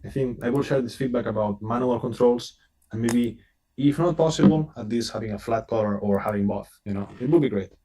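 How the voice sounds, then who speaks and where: Earnest non-native English-speaking company rep. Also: male voice, mid-range voice, a little fast, warm tone, slight background noise, low-quality recording. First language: not English. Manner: earnest, repeats words while speaking. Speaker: company representative guest. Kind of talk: casual live stream chat.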